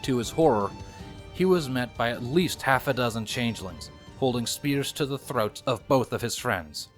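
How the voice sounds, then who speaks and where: A man's voice: medium pitch, lively intonation, normal speed, faint music behind. A man reading fan fiction as scripted audiobook narration, in a dramatic storytelling manner.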